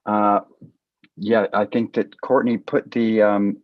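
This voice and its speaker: A man with a fairly low voice hosts an informal panel discussion. He speaks haltingly and appreciatively. The sound is close and dry but somewhat muffled, as on a video call. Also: flat delivery, no echo. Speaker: event host and moderator.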